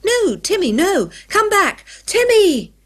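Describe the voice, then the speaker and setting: A woman voicing a fierce, outspoken young girl in a children's story, shouting and indignant. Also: high-pitched, very animated, brisk, voice pushed up. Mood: urgent shouting.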